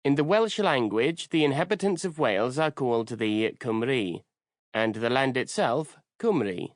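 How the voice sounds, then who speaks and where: A man's voice: medium pitch, expressive, normal speed, no echo. Man reading, dry close sound. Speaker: audiobook narrator. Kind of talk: scripted nonfiction narration.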